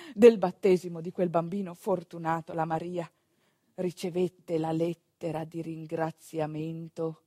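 A woman performing a theatrical monologue as a storyteller of rural midwifery, with a dramatic, emphatic delivery full of deliberate pauses. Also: on the low side, warm tone, husky, no room sound, clean studio sound. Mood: urgent, excited, then confiding, earnest. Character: female storyteller recalling a rural midwife's work. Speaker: stage actress narrating a monologue.

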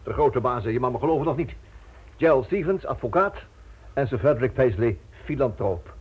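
An actor playing a defiant, sardonic husband held by criminals in a radio play, speaking bluntly and sarcastically. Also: male voice, medium pitch, expressive, a little fast, breathy edge. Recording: tinny, band-limited old radio recording.

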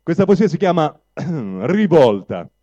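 Male poetry reader, deliberate and slow, dark soft tone, dry but dull live sound. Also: fairly high pitch, very animated, dry recording. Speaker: poetry reader at a public reading event. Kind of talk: spoken introduction and declaimed recitation of poetry. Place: live reading at a public venue. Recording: dull, lo-fi live event recording.

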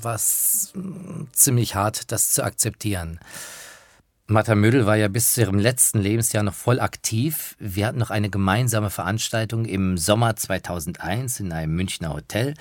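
A man speaking reflectively, with hesitant pauses, as he recalls memories for a radio documentary. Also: fairly low pitch, no room sound. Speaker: interviewer recalling people he interviewed. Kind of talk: spoken reminiscence in a radio documentary. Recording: music behind the voice.

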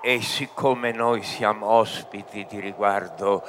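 A male actor playing a sly, mocking demon servant, measured and sardonic, in a theatrical radio drama. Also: lowish voice, steady tone, background noise. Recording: music behind the voice.

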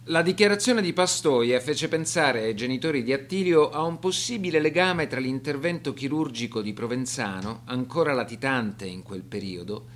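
A man narrating a scripted documentary radio drama in a formal, deliberate manner. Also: medium pitch.

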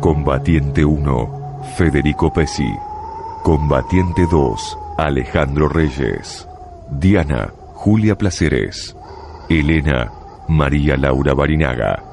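A man's voice: very deep voice, animated, takes it slowly, creaky, music in the background. A man reading scripted radio drama credits as an announcer.

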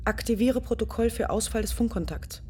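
An actress playing a tired, matter-of-fact female astronaut in a radio play, giving brisk, clipped commands. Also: fairly high pitch, mellow, a little hiss or hum, close to an everyday voice.

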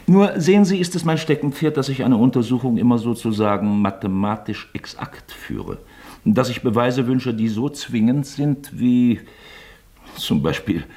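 A sly, genial investigator toying with his suspect in a radio drama. His fairly low voice swoops up and down as he muses affably, drawing out each point. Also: darkish tone. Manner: affable, musing, pointedly drawn-out. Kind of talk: German radio drama acting.

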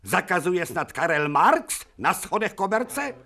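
An actor playing an imperious, irascible older professor, declaiming sarcastic rhetorical questions in a theatrical radio drama. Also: male voice, high-pitched, big pitch swings, pushed and bright, exaggerated voice. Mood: indignant. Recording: music faintly behind the voice.

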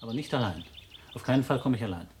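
An actor in a radio drama playing a stubborn young adult son, curt, prickly and defiant. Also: male voice, medium pitch, animated, fast, darkish tone, a little hiss or hum, close to an everyday voice.

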